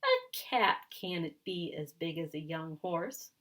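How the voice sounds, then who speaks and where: A woman's voice: low-pitched, slow pace, fairly bright tone. A woman voicing a skeptical, contrary mother chipmunk from a storybook, matter-of-fact and contradicting. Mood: doubtful, dismissive.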